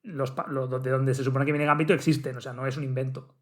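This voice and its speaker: A man co-hosting a podcast in casual chat, restarting his sentences as he goes. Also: medium pitch. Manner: fast, animated, restarts sentences often. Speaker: podcast co-host.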